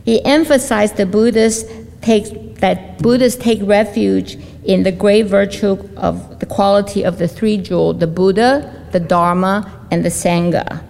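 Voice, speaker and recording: Woman at an interfaith service, measured reading with small restarts, creaky voice, ringing hall. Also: medium pitch, steady tone, a little mellow. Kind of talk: reading prepared remarks. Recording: long hall reverb.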